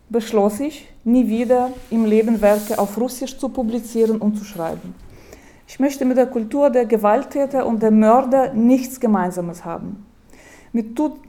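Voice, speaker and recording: A woman giving a lecture, speaking in a measured way, with hesitations and restarts, and reading quotations aloud. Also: on the high side, mellow.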